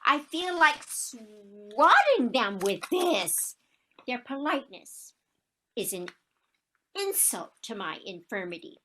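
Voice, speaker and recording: Woman as a slow, high-voiced feisty old lady. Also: big pitch swings, bright, forceful tone, exaggerated voice, low-quality recording. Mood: exasperated. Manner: deliberate, indignant, theatrical. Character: frail, feisty old lady with a cane, stubbornly proud. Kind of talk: stage comedy acting, one-act play.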